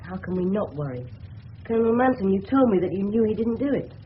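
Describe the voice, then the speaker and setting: An actor playing an earnest, anxious English schoolboy in a radio drama, polite but prickly. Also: male voice, sits high, expressive, fast talker, slight background noise. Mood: guarded, challenging. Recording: muffled 1970s radio play, busy background sounds.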